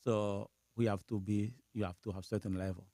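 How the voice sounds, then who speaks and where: A man from Haiti with a Haitian accent, a priest whose native language is Creole, answering interview questions haltingly with small laughs. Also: lowish voice, fairly even intonation, dark tone, no echo, studio quality. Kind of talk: interview conversation.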